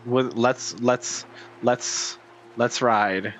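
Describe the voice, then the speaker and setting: Male game streamer, mid-pitched voice, casual self-directed muttering, faint music behind. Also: fairly even intonation, very slow. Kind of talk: casual gameplay commentary.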